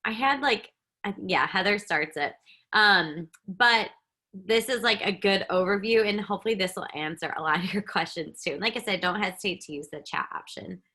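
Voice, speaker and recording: A woman's voice: medium pitch, normal speed, bright, forceful tone. A woman hosting a coaching webinar, speaking casually with many fillers. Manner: upbeat, filler-heavy, explaining. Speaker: webinar host.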